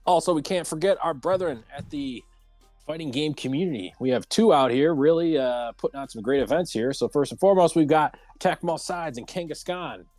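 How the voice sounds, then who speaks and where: A man's voice: on the high side, a little fast, darkish tone, dry recording, soft background music. A man hosting a casual livestreamed round table talk show.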